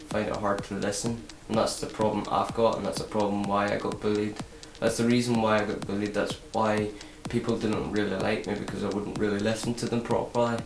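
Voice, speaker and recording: A teenage boy talking to camera in a casual vlog, halting and repeating himself with many fillers. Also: fairly low pitch. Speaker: vlogger. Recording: roomy echo with electronic music behind.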